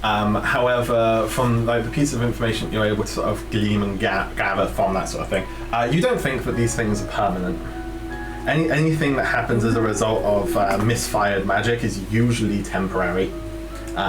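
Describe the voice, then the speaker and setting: A man running a tabletop game as game master, improvising his narration in a hesitant, halting way. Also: lowish voice. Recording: roomy echo, music behind the voice. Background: music.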